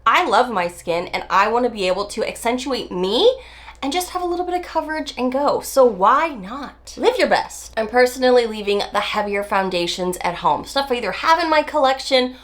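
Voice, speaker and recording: A woman's voice: a little high, animated, a bit bright. A woman in her forties, nasal from a summer cold, chatting to camera as a beauty vlogger in an emphatic, chatty way. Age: 40s. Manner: chatty, emphatic, drawn-out stresses. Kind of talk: casual talk to camera.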